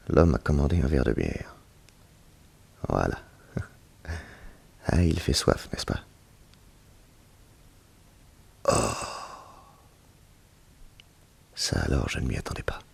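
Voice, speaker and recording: An actor playing a shrewd, protective detective in a French radio serial, brisk, familiar and self-assured. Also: male voice, rumbling low, big pitch swings, gravelly, breathy edge, in a whisper, heavily acted. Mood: watchful, muttering.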